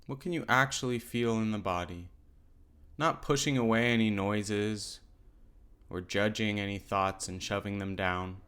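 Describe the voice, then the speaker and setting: A man with a fairly low, smooth and clear voice teaches a guided meditation. He gives gentle instructions without hurry, leaving pauses between his phrases. The delivery suits a mindfulness practice.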